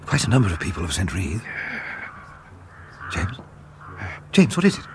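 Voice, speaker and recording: Polite, animated gentleman academic. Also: deep voice, normal speed, noisy background. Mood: uneasy. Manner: courteous, hesitant, proper. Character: polite, practical, uneasy male academic companion. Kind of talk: radio drama acting.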